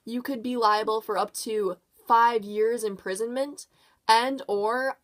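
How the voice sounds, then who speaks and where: A woman's voice: a little high, steady tone, unhurried, a bit bright. A woman playing a polite male official answering questions in a puppet show. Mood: friendly, apologetic.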